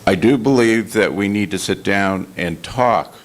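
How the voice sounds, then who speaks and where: An older American man giving measured, halting remarks as a panel guest. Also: on the low side. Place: storefront meeting room, live event.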